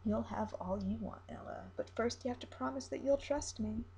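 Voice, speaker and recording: A woman's voice: a little high, normal speed, vocal fry, dark tone, slight background noise. A woman voicing a manipulative male ship captain in a story, coaxing and persuasive. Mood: firm persuasion.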